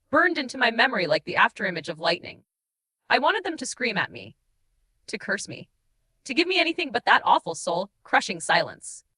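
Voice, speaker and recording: A heartbroken shapeshifter played by a woman tells her story as an audiobook confession. Her voice is high and bright, with pitch that sweeps widely through wistful, guilt-laden lines and dramatic pauses. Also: full character voice, low-quality recording. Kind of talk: dramatic first-person audiobook narration.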